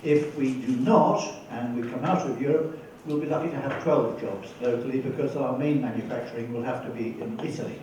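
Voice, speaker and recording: A man in the audience asking a question at a debate, speaking deliberately. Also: mid-range voice. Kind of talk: question from the floor. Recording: echoey hall, far from mic, faint noise.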